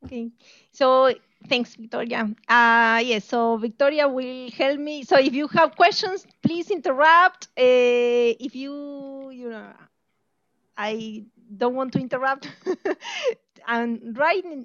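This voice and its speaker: Argentine woman math lecturer on a video call, high voice, dry muffled sound. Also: slow, a bit bright, no room sound. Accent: Argentine Spanish. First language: Spanish. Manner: friendly, laughs often, many fillers. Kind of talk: informal online lecture.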